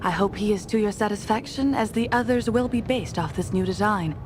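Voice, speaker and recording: A haughty, menacing mad scientist villainess from a video game, acted by a woman. She speaks in a theatrical, condescending way at a middling pitch, with noticeable noise in the recording. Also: a little fast, natural voice. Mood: composed, sinister. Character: haughty, sadistic female mad scientist villain who creates monsters.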